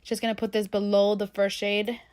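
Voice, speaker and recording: A woman's voice: mid-range voice, little pitch movement, normal speed, darkish tone, smooth. Congested young woman chatting through a makeup tutorial. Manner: chatty, rambling with fillers. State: congested from a cold. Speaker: beauty vlogger. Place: bedroom at home.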